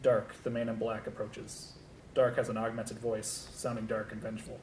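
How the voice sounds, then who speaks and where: Even-toned man narrating in an echoey room. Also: normal speed. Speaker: narrator of stage directions. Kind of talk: scripted narration at a table read. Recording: echoey room with low rumble.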